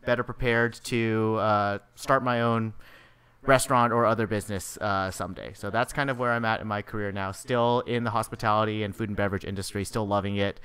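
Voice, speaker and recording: A man in his late twenties, a guest in a casual chat, easygoing and soft-spoken, talking close to a desk mic. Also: on the low side, fairly even intonation, fairly quick, clean tone. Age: late 20s.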